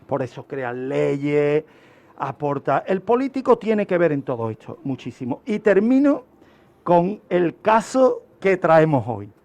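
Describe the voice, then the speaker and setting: A man with a distinctly high voice explains criminology as a radio guest. He teaches as he talks, stressing key points emphatically and posing rhetorical questions before answering them. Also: big pitch swings, unhurried, a little mellow. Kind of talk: explaining criminology and a historical case on a radio segment. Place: radio studio.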